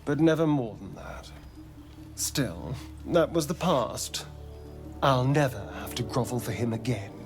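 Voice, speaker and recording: Sly vampire, vain, flirtatious, unhurried, widely swooping pitch, video game drama. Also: male voice, expressive, faint music behind. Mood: brooding, ambitious. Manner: theatrical, flirtatious, arch, drawn-out delivery. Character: sly, vain male vampire, centuries old, hungry for power.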